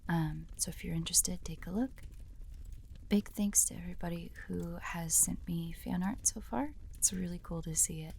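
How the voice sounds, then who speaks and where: A woman's voice: fairly low pitch, moderate pace, gravelly, mellow, a little hiss or hum. A woman who creates audio roleplays, chatting casually to thank her listeners.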